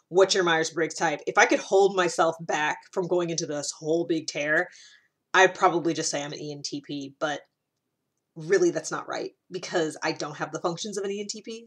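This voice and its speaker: A woman hosting a series as a casual solo explainer, talking informally and often breaking off into asides. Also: fairly low pitch. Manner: informal, rambling and self-interrupting, with frequent asides. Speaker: host of a personality-typing series.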